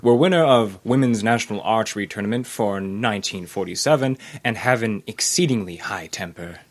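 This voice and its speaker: A man's voice: on the low side, fairly quick. A man playing a smug, condescending sanitarium doctor in an old-time radio drama, with an unctuous, theatrical delivery. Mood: smugly amused. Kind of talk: old-time radio acting before a live audience.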